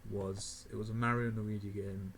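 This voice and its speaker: A young man of eighteen talks loosely through his rankings, his voice sitting a little low, with frequent chuckles and filler words. A faint hum of the room sits behind him, with the odd mouse click. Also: level tone, moderate pace. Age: young adult (18). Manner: rambling, laughing often, many fillers. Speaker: gaming video creator. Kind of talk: casual tier list commentary.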